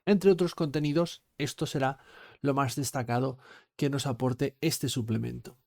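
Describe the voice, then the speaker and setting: A man with a Spain Spanish accent hosting a tabletop game review, speaking in a measured, formal way with "eh" pauses. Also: mid-range voice, steady tone, gravelly, a little mellow. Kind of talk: product review talk to camera.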